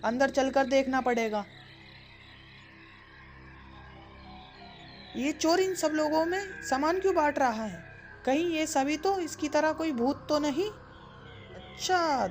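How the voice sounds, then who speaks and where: A woman's voice: sits high, measured pace, a little mellow, faint music behind. A woman voicing a brave, curious village boy in a children's cartoon, earnest and kind. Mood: curious, suspicious. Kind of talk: children's cartoon story acting.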